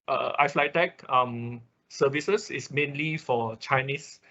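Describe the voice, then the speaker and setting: Bright-voiced man teaching, dull call audio. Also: mid-range voice, slow. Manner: frequent confirming tags like "right" and "okay". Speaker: instructor leading an online robotics class. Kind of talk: casual step-by-step technical explanation. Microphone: video call.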